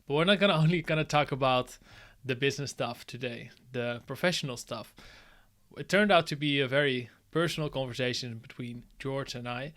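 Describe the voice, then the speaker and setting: A man's voice: medium pitch, fairly quick, a bit bright. A man hosting a podcast, introducing his guest and asking him questions in an upbeat, chatty way. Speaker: podcast host. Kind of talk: casual interview with a scripted intro.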